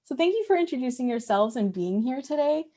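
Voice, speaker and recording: Higher-voiced woman moderating a panel. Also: lively intonation, brisk, mellow. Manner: friendly, loose and informal. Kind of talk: hosting a panel.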